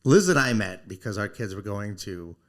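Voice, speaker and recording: A man in his forties co-hosting a casual podcast chat, asking quick questions and telling joking stories. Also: on the low side, sing-song. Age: 40s. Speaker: podcast co-host.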